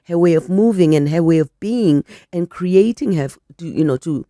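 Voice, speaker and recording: An older woman, a guest on a radio interview, telling her story in a measured, reflective way, a little croaky from a flu. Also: fairly low pitch, expressive, mellow, no echo, studio quality. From South Africa. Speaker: actress and guest.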